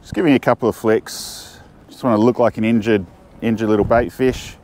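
A man's voice: lowish voice, steady tone, fairly quick, darkish tone. A middle-aged Australian man chatting casually to camera while fishing from a jetty, thinking aloud and restarting his sentences. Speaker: fishing vlogger. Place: outdoors on a windy jetty.

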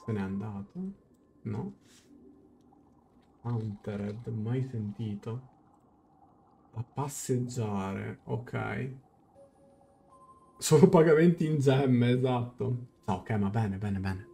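A man's voice: medium pitch, slow, vocal fry, pauses often, warm tone. A young man casually translating game dialogue aloud and musing as he goes, in a live reaction stream. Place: small indoor room. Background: game music.

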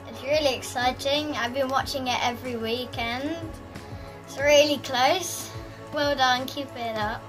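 This young girl has a high voice and talks slowly, stopping and starting between her words. Soft music plays behind her. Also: low-quality recording.

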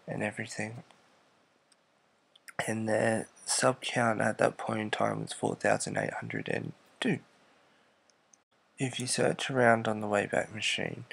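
A man narrating a screen-recorded walkthrough, explaining slowly and evenly and letting his sentences trail off quietly. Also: fairly low pitch, gravelly, slightly rough. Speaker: YouTuber.

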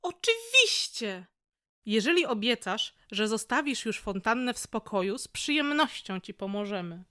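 A woman as a high-voiced flower goddess statue. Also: sing-song, normal speed, sharp tone, no room sound, clean studio sound. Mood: generous. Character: stone statue of a kindly goddess of spring and flowers. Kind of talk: radio play acting.

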